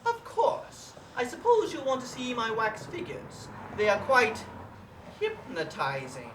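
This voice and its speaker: A woman's voice: on the high side, wildly swooping pitch, a little slow, recorded from a distance, noisy background. A woman voicing a sly male villain professor who runs a wax museum, suave and theatrical with sudden menace. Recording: roomy echo, voice set back from the mic.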